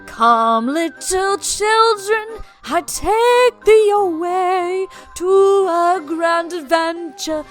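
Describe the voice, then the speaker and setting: A woman's voice: very high-pitched, extremely slow, hardly any pauses, faint music behind. A woman hosting, giving an exuberant, sing-song, theatrical introduction to her viewers. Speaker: host.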